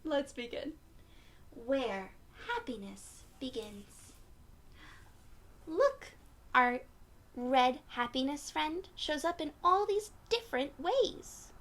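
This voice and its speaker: A woman reading a picture book aloud to young children in an encouraging, expressive way, often putting questions to her listeners. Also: high-pitched. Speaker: children's read-aloud storyteller.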